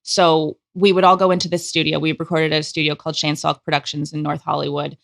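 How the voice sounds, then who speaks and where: An enthusiastic, expressive woman chatting casually as a guest in an interview about her creative work. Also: sits low, fast, vocal fry. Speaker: audio drama director and actor, guest.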